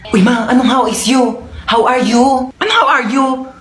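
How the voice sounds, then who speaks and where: A woman playing a scolding, sharp-tongued middle-aged mother. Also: high voice, steady tone, moderate pace. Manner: scolding, nagging.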